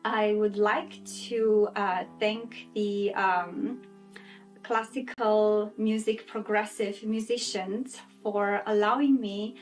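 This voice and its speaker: A woman's voice: mid-range voice, fairly even intonation, takes it slowly, faint music behind, low-quality recording. A woman in her thirties from Romania, a classical soprano and non-native speaker, answering interview questions with many fillers and restarts. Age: 30s. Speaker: guest singer. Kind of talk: interview answers and recorded intro.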